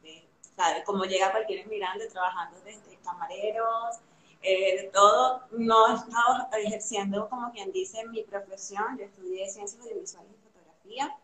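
A woman's voice: mid-range voice, moderate pace. Chatty Venezuelan woman in an echoey room. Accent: Venezuelan Spanish. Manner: chatty and animated, many fillers, laughs easily. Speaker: guest artist. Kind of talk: casual interview chat. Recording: roomy echo.

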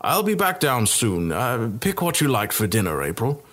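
A man's voice: mid-range voice, big pitch swings, normal speed, fairly bright tone. A man voicing a discreet king and father who speaks matter-of-factly. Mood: calm.

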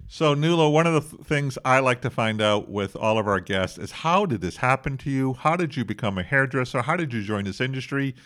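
A man's voice: medium pitch, expressive, a little fast, hardly any pauses, fairly bright tone. A man co-hosting a podcast, putting opening questions to a guest in an upbeat, direct way. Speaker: podcast co-host. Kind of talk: casual interview question.

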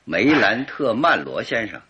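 An actor playing a keen-eyed, wry gentleman detective in a radio drama, husky and deliberate in delivery. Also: lowish voice, sing-song, highs cut off, full character voice. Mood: courteous, assured. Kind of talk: Chinese radio drama acting.

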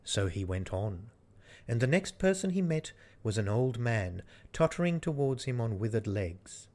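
Slow-paced male fairy tale narrator. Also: mid-range voice, big pitch swings, a little mellow. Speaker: storyteller.

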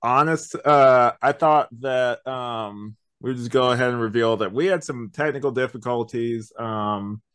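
A man's voice: medium pitch, measured pace, few pauses, a bit bright, smooth. A man hosting a podcast, talking through a review in a casual, rambling, conversational way. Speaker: podcast host. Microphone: online video call recording.

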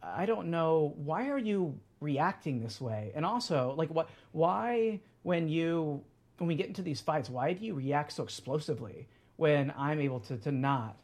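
A man in his late thirties talking casually as a podcast guest. His voice sits a little high for a man. He rambles, trips over restarts and leans on fillers. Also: lively intonation, a little slow, darkish tone. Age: 30s. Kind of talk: casual chat.

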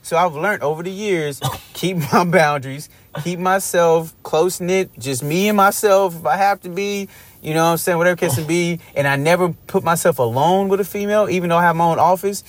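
A man co-hosting a casual podcast, telling a story with lively acted-out quotes and sports slang. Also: sits high, brisk, no echo. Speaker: podcast co-host. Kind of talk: casual chat.

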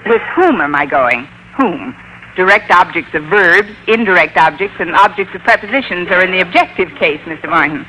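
A wisecracking female schoolteacher in an old radio comedy. Her voice is forceful and very bright, swinging widely in pitch as she delivers dry, pedantic barbs. The old recording carries a faint hiss of noise. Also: lively intonation, moderate pace, low-quality recording. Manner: dry, pedantic, wounded pride. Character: sardonic, wisecracking female high school English teacher. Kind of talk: 1940s-50s radio comedy acting.

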